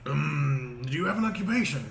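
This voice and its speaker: Young male player in character, halting delivery, bright tone, echoey room. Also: on the high side, lively intonation, vocal fry. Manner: hesitant, halting with fillers. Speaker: player voicing his character. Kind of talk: in-character tabletop roleplay. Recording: echoey room with background noise.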